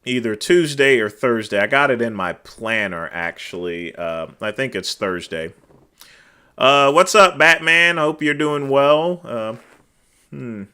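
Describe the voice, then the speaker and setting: Loud, bright-voiced man livestreaming. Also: mid-range voice, animated, measured pace, clear, resonant tone. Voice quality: naturally loud, cutting voice. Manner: rambling, filler-heavy, trails off quietly at phrase ends. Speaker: livestream host. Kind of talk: casual chat reading viewer comments. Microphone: desk microphone.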